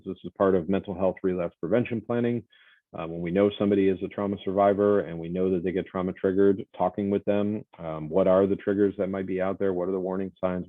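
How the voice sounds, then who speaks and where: A man lecturing to a college class in a loud, projecting voice, talking conversationally with many fillers and self-corrections. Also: low-pitched, no room sound. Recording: dry, phone-like lo-fi audio.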